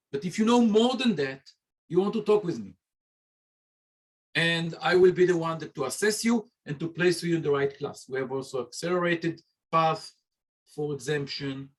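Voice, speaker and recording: Male Hebrew instructor, slightly high voice, playful, chatty, talking on a video call. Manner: joking, conversational, talks straight to students. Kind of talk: informal presentation on a video call.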